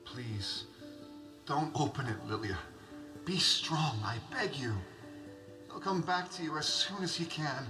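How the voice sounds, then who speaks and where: An actor playing an imperious, distrustful and tormented sultan in a dramatic, declamatory audio drama style. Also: male voice, fairly high pitch, measured pace, pauses often, close to an everyday voice. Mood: pleading. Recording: music bed behind the voice.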